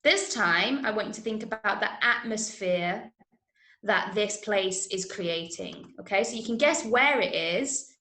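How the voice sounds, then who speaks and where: A woman with an English accent leading an interactive online drama lesson in an encouraging way, full of praise and quick checks that everyone follows. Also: medium pitch, animated, bright, forceful tone. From Manchester, England. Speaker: drama workshop teacher. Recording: roomy, echoing online class audio.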